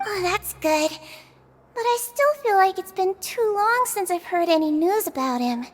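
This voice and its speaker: A woman voicing a small, sweet, caring girlish character in an anime game, polite and gently worried. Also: extremely high pitch, lively intonation, unhurried, faint music behind. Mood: relieved, wistful. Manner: polite, solicitous. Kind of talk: English anime game voice acting.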